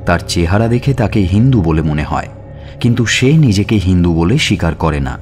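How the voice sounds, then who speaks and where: An actor playing a police detective who narrates his murder investigation in a deliberate, questioning manner in an audio suspense story. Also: low voice, lively intonation, darkish tone, soft background music. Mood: grave, recounting.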